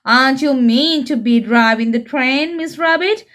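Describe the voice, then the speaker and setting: A woman's voice: sits high, a little slow, fairly bright tone. Good-humoured storybook father pig read by a woman.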